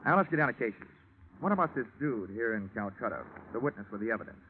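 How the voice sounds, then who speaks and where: A man's voice: mid-range voice, animated, fast, slight background noise, lightly acted. An American actor playing a dry, sardonic, clipped insurance investigator who narrates his case in 1940s radio detective style. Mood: wry. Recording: dull 1940s radio recording.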